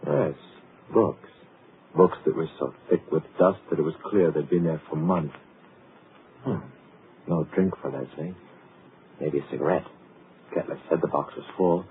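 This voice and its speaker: A man's voice: rumbling low, measured pace, long pauses. A man giving dry, wry scripted narration for a radio drama. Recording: tinny, hissy 1940s radio broadcast.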